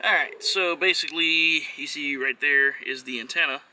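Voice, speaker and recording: A man's voice: a little high, normal speed, pushed and bright. A man giving a casual, unscripted how-to walkthrough as a vlogger, talking in an offhand, hesitant way with trailing phrases. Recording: noisy on-location vlog audio.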